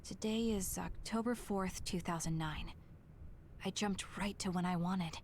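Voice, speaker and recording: A woman's voice: lowish voice, a little slow, darkish tone, a little hiss or hum. A woman voicing an earnest, determined young woman in an anime game, speaking dramatically as if thinking aloud. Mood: uncertain, musing.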